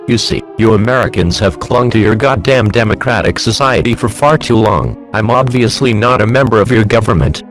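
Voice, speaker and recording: A man's voice: mid-range voice, close to an everyday voice. A man playing a grandiose, mocking villain who delivers a pompous, taunting monologue in an audio drama. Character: smug male villain, would-be overlord, mocking and grandiose.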